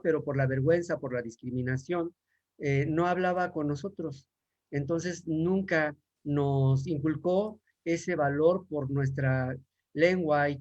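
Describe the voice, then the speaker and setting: A man's voice: a little high, darkish tone. A man from Mexico, a university professor, answering questions in an online talk with frequent fillers and restarts. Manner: hesitant, many fillers and restarts. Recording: dull lo-fi sound.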